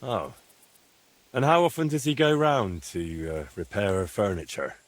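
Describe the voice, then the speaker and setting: An actor playing a sensible, helpful adventurer in a video game, speaking in a frank, questioning and wry manner. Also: male voice, fairly low pitch, big pitch swings, measured pace, no echo. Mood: probing. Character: sensible, helpful young male adventurer with dry wit. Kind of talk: video game dialogue acting.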